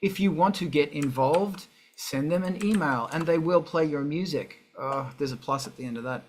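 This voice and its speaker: A man's voice: fairly high pitch, normal speed, a little hiss or hum. A man hosting a casual livestream in a sweary, jokey, rambling manner. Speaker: show host.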